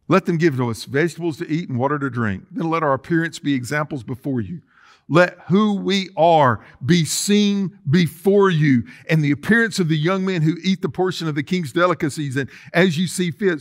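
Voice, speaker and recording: A man's voice: a little high, animated, moderate pace. A middle-aged male pastor preaching in a church sanctuary, reading scripture and calling on the congregation to repeat after him. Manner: preaching with call-and-response, reading scripture aloud. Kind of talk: sermon. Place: church sanctuary with empty chairs.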